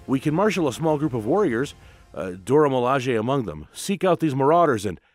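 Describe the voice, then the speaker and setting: A man's voice: mid-range voice, sing-song, brisk, no echo. A grave male spy from a comic-book tale, voiced by a narrator. He is loyal and earnest, keeping himself restrained. Faint music sits behind him.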